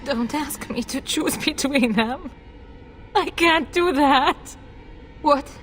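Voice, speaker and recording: A woman playing a desperate, grieving noblewoman and mother in a video game, pleading in a halting, emotional way. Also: high voice, a bit bright, faint music behind. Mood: tearful begging. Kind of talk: dramatic video game voice acting.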